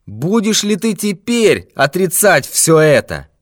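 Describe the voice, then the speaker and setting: A man's voice: high-pitched, very animated, takes it slowly, no echo, clean studio sound, voice pushed up, exaggerated voice. A man doing a snarling, sneering robber. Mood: threatening. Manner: shouting threats, sneering. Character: menacing red-haired male robber, brutal and mocking.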